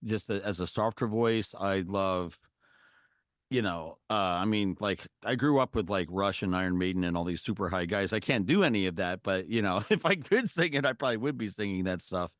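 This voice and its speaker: A man's voice: expressive, normal speed, dry recording, studio quality. A middle-aged man, a musician guest, chatting casually with lots of fillers and restarts. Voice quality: low singing voice. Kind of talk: casual interview chat.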